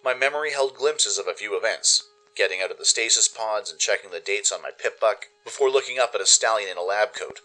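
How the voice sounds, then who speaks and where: A man giving expressive, dramatic first-person narration for a radio play. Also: on the low side, a little fast, few pauses, faint music behind, poor audio. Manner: expressive storytelling. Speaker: narrator and producer.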